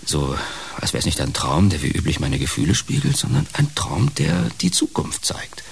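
Low, gravelly, brooding fallen TV star. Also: male voice, wildly swooping pitch, moderate pace, whispered, full character voice. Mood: uneasy, haunted. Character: troubled, volatile, insomniac fallen television celebrity. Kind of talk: radio drama acting. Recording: hissy radio drama recording.

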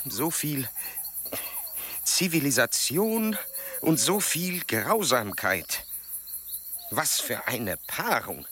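An actor playing an earnest, proud, meticulous male German explorer in a radio drama, speaking in formal, precise, measured diction. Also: medium pitch, sing-song, sharp tone, a little hiss or hum, exaggerated voice. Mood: grave, pondering.

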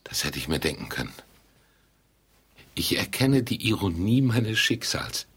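An actor playing a resigned, bemused nobody in a radio drama, musing vaguely in a rough, husky voice. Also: male voice, fairly low pitch, sing-song, unhurried, a bit bright, exaggerated voice. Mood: wry, resigned. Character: vague, unremarkable lonely everyman, resigned and bemused. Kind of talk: theatrical radio drama dialogue.

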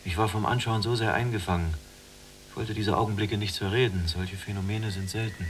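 A man's voice: lowish voice, steady tone, fairly quick, slight background noise, close to an everyday voice. A man acting in a literary radio drama as a caring, dreamy younger prisoner with earnest, lyrical phrasing. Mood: dreamy, entranced.

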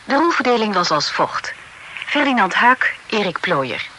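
A woman announcer reads the closing credits at a quick, businesslike clip. Her voice is bright and lively in pitch. The sound is slightly distant, with a faint hiss of an old radio broadcast. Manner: brisk closing credits reading.

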